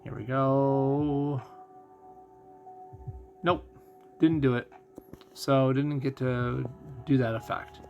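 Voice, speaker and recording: Male game streamer, mid-range voice with vocal fry, casual think-aloud commentary over background music. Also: medium pitch, steady tone, very slow, pauses often, dull audio. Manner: musing, thinking out loud. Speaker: gaming streamer.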